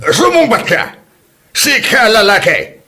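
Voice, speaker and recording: A man acts out an angry, suspicious father in an Ilocano comedy drama. His voice is bright and forceful, swinging widely in pitch as he scolds and grills in an Ilocano accent. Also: wildly swooping pitch, unhurried, full character voice. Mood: angry, accusing. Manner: scolding, interrogating, confrontational. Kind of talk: comedy audio drama acting.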